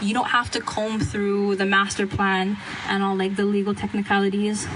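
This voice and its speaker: A woman's voice: medium pitch, level tone, moderate pace, a bit bright, a little hiss or hum, poor audio. A young woman from Hawaii speaking passionately at an outdoor gathering, casual with self-deprecating asides. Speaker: activist speaker. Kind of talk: speech at a rally.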